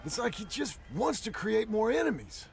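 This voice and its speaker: An actor playing a troubled, loyal younger outlaw in a video game, hesitant and brooding, with an American Western drawl. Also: male voice, sits high, big pitch swings, normal speed, a little hiss or hum, exaggerated voice. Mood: troubled, doubtful. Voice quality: gravelly. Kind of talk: dramatic video game acting.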